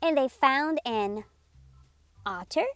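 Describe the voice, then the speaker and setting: A woman narrating a children's read-aloud in a deliberate, sing-song way as storytime host. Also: high-pitched, animated, dry recording.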